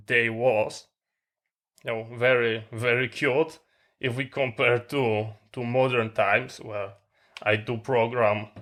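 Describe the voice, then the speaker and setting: A man with a non-native English accent informally demonstrating gear as a tech vlogger, talking in short, halting phrases. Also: mid-range voice, pushed and bright. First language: not English.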